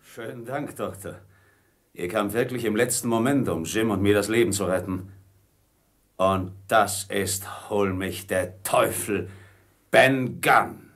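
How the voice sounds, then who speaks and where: An actor playing a hard, cunning, fearless pirate ringleader in a radio play, gruff and commanding. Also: male voice, lowish voice, takes it slowly, fairly bright tone, exaggerated voice. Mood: relieved, amazed. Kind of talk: German radio play acting.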